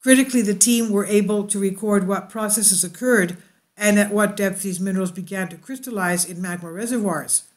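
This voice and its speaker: A woman reads a science news story aloud in a steady voice. Now and then she drifts into unscripted asides, stumbling over fillers and correcting herself before she returns to the text. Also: mid-range voice, fairly quick. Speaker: YouTube news host.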